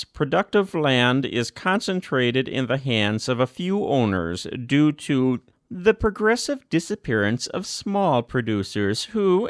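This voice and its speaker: A man narrating a formal document aloud in a measured, scripted reading style. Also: medium pitch, no room sound, studio quality. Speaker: audiobook narrator.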